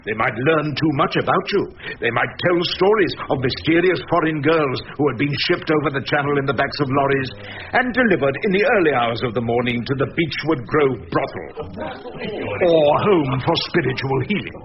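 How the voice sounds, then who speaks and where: An actor playing a dogged, wry old defence barrister in a radio drama, questioning theatrically and insistently. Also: male voice, medium pitch, lively intonation, normal speed, dull audio, slight background noise, natural voice. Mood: accusing.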